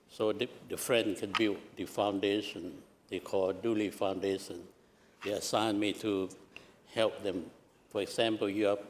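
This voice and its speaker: A man's voice: on the low side, a little hiss or hum. An older man from southern Laos with a Lao accent, speaking hesitantly in farewell remarks at a public meeting. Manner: halting, hesitant, restarts phrases. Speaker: retiring commissioner. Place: council meeting chamber.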